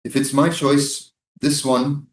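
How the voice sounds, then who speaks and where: A man's voice: mid-range voice, level tone, slow, a little hiss or hum, natural voice. A man playing a cynical, coolly dismissive soldier with a blunt manner in a staged reading of a drama. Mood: wry, defiant. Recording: distant, echoey live stage reading.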